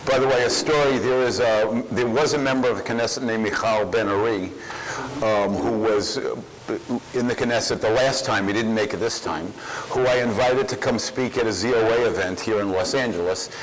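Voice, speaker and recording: A man's voice: lowish voice, steady tone, normal speed, low-quality recording. A man speaking at a public event, answering audience questions in an emphatic way, repeating phrases for force.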